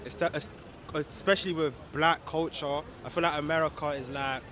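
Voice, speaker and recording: A man's voice: on the high side, fairly even intonation, fairly quick, fairly bright tone, a little hiss or hum. A man, answering questions in a casual street interview, rambling and tagging his lines with "innit".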